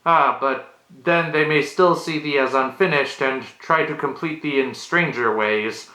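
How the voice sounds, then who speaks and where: A nervous medieval tavern steward acted out by a man delivers a mock-Shakespearean monologue in a bright, forceful, slightly high voice. He is theatrical and archaic, stumbling on little ums as he offers false reassurance. The room echoes clearly around him. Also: a little slow. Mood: nervously encouraging.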